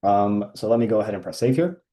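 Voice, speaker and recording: A man walking through a software feature in a casual screen-share demo, with frequent ums and uhs. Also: on the low side, animated, fast.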